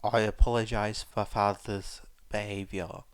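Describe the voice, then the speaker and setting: A man's voice: on the low side, flat delivery, unhurried, smooth, dry recording, close to an everyday voice. Casting: male reader as a tall lizard-like teen for audiobook, polite and unexpressive, with a lisp. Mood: apologetic, reserved. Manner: formal, unexpressive. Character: polite, reserved tall reptilian teenage boy. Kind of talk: audiobook character voice.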